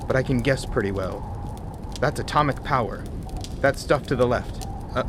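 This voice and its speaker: An actor playing a sharp-minded, wry polar scientist with an explanatory manner in a radio drama. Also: male voice, mid-range voice, lightly acted. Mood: awed explaining. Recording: noisy outdoor-like ambience behind dialogue.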